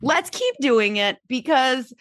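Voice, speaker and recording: A woman's voice: high voice, lively intonation, slow, fairly bright tone, dry recording, clean studio sound. A woman in her thirties from Southern California hosting a podcast, chatting casually with frequent laughs and fillers. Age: 30s. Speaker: podcast host. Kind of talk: casual conversational interview.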